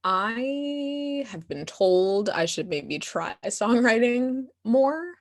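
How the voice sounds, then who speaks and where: A woman's voice: fairly high pitch, lively intonation, very slow. A young woman answering questions as a guest performer in a casual Q&A, candid and self-deprecating, often laughing through her words. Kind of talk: casual audience Q&A.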